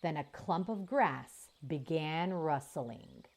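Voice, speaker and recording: A woman reading a children's chapter book aloud as the channel's narrator, in slow, expressive storytelling. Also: sits low.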